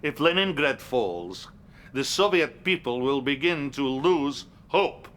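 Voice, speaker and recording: An actor playing a scheming, pompous Soviet leader in a video game cutscene, with a theatrical, deliberate delivery and a Russian accent. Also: male voice, medium pitch, expressive, fairly bright tone, a little hiss or hum. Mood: firm. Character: grandiose, scheming Soviet leader addressing his commander.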